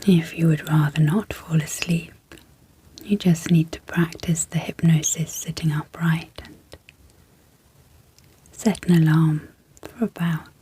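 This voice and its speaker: A woman speaking in a breathy, whispery hush, gentle and measured with long pauses, guiding an ASMR sleep hypnosis as host. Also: deep voice, rough recording. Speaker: ASMR host and clinical psychologist.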